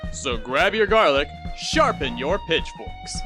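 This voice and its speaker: Theatrical monster tv host for a spooky dating game, super animated showman patter, music behind. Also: on the high side, slow. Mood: showy, ominous. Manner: showy, grandiose game-show patter. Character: theatrical pumpkin-headed male monster dating show host. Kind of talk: visual novel voice acting.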